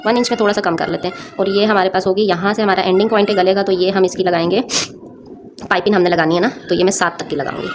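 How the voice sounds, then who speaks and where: A woman's voice: mid-range voice, steady tone, fast. Woman tutorial host with a sore throat, noisy recording. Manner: conversational, addresses viewers with frequent check-in tags. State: sore throat, frequent throat clearing. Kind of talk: informal step-by-step craft tutorial narration.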